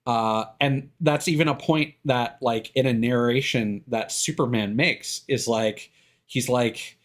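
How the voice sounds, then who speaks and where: A man streaming live, talking casually and giving his opinions in a halting way, circling back over his words. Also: mid-range voice. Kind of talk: casual opinionated rambling on a livestream.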